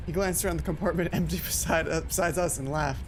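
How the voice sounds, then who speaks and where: A man's voice: fairly high pitch, steady tone, fast talker, a little hiss or hum. A man hosting a gaming video, reading game text aloud and breaking off into casual asides, sometimes stumbling over the words. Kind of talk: let's play commentary mixed with reading visual novel text aloud.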